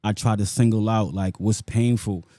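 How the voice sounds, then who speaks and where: A man's voice: fairly low pitch, fairly even intonation, normal speed, warm tone, no room sound. A man with a New York accent talking casually in an interview as a rapper, using street slang and frequent check-in tags. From South Bronx, New York. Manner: street slang with frequent "you know what I'm saying" tags.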